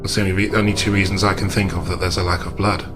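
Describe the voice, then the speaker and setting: A man playing a calm, analytical gentleman investigator in a tabletop game, reasoning aloud with deliberate understatement. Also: deep voice, over music, lightly acted. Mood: measured, grave.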